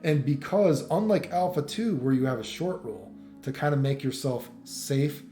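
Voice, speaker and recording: A man's voice: mid-range voice, moderate pace, mellow, reverberant, faint music behind. A man giving casual, off-the-cuff game commentary in an opinionated, rambling, sweary way. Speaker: gaming video commentator.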